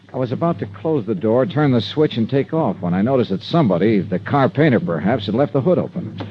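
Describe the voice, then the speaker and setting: Vintage radio drama with music and a dull, lo-fi sound. a hard-boiled, smooth-talking investigator in a fairly low voice, wry and clipped, with lively swings in pitch. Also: male voice. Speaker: lead actor. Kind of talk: 1950s radio detective acting, first-person narration and dialogue.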